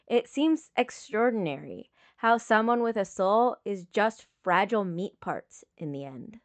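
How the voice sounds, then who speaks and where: A woman reading dramatic fiction as a scripted narration, with measured, solemn phrasing. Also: medium pitch, expressive. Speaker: audiobook reader of fan fiction.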